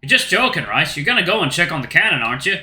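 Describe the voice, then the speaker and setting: A man's voice: fairly high pitch, big pitch swings, very fast, bright, forceful tone, reverberant, heavily acted. A man voicing a worried, friendly male canine companion who talks in a soothing, coaxing way. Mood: uneasy.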